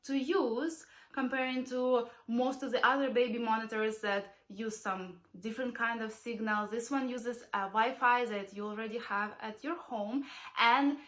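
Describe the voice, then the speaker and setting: High-voiced woman reviewing a product. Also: steady tone, normal speed, fairly bright tone. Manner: friendly, direct address to viewers. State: has a cold. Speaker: parenting channel host.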